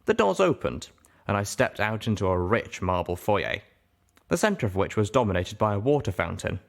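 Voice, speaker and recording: Low-voiced man narrating a story. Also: big pitch swings, moderate pace, creaky. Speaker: audiobook reader. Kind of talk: expressive first-person story narration.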